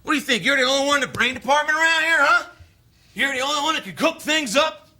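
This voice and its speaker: Cocky, bright-voiced drifter brother. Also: male voice, very high voice, lively intonation, fast, exaggerated voice. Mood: angry, defiant. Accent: American. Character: cocky, menacing drifter older brother, folksy and hot-tempered. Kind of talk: filmed stage play acting.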